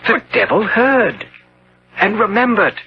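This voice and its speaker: An actor playing a corrupt, secretive young gentleman in a theatrical, insinuating manner, in 1970s radio drama style. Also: sits high, expressive, slow pace, exaggerated voice. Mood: bitter, intense. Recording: dull, hissy old radio broadcast.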